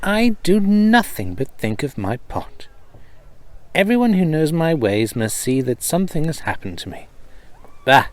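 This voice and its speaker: A man's voice: a little high, big pitch swings, measured pace, dry recording, voice pushed up. A man voicing a fretful, exasperated poor cobbler in a storybook tale, talking in agitated exclamations. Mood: exasperated, defiant.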